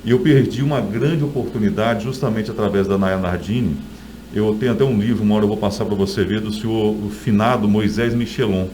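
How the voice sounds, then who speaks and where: A man's voice: lowish voice, fairly quick, warm tone. Middle-aged Brazilian man, noisy recording. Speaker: author being interviewed. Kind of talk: conversational interview storytelling.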